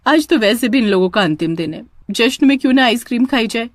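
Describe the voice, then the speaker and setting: A scheming witch of a young wife, acted out by a woman storyteller, possessive and gloating. Her menacing lines swoop with lively pitch in a close, dry horror storybook recording. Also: fairly quick, no echo, close to an everyday voice. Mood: smug, sly.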